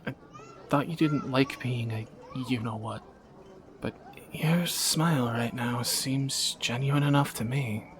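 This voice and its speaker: Guy acting as a flirty sarcastic thief in a romance audio drama, mid-pitched voice, noticeable background ambience. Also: a bit bright, slight background noise, close to an everyday voice. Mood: intrigued, teasing. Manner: teasing, sardonic, conversational asides to a companion. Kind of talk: romantic roleplay audio drama, intimate banter.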